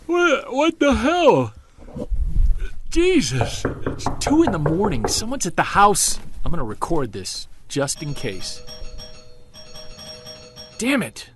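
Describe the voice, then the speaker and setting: A man's voice: high-pitched, wildly swooping pitch, slow pace, long pauses, heavily acted. An actor as an earnest, weary man confiding, music behind. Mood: alarmed. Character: earnest, weary man obsessed with proving Bigfoot exists. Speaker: narrator and lead of fiction podcast. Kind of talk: audio drama, confessional recorded diary.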